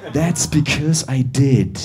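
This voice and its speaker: Young man doing club stand-up, very lively pitch, chatty and animated. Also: a crowd in the background. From Russia. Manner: animated, conversational, jumps between fast and drawn-out delivery. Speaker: stand-up comedian. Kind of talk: stand-up comedy set. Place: comedy club with live audience.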